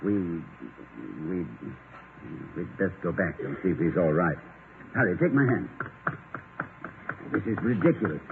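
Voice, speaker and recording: Flustered, wordy professor, tinny radio. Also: male voice, low-pitched, big pitch swings, unhurried, pauses often. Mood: flustered, harried. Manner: wordy, stammering, exasperated politeness. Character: erudite, flustered, wry college professor husband. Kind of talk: 1950s radio comedy acting. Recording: tinny, noisy 1950 radio recording.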